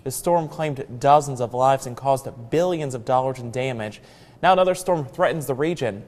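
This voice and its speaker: A man's voice: medium pitch, moderate pace, darkish tone, big-room sound. A man with an American accent anchoring a scripted television newscast. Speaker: news anchor.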